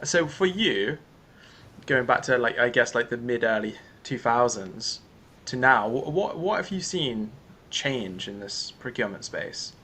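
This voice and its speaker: Husky-voiced young male podcast host. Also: medium pitch, lively intonation, a little slow, bright, forceful tone. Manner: chatty, laughs along, loose questions. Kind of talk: casual interview chat.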